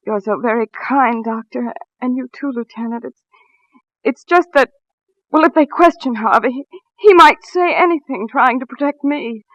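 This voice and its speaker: A woman's voice: sits high, normal speed, fairly bright tone, no room sound. An American woman acting an anxious, devoted young wife in a dramatic, pleading 1940s radio style. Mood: subdued. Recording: muffled vintage 1940s radio recording.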